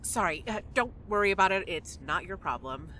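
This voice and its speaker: Bright, blunt young woman as acted. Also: mid-range voice, lively intonation, fairly quick, a little hiss or hum. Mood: wry, friendly. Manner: casual, dry, blunt. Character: blunt, wry young woman stranded in a small town. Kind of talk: naturalistic audio drama acting.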